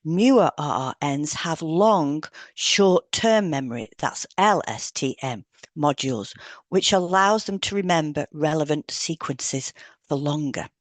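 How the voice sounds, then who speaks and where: A woman's voice: low-pitched, lively intonation, a little slow, no room sound. A woman co-hosting a podcast, reading explanatory material in an instructional way with informal asides. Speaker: podcast co-host, hypnotherapist.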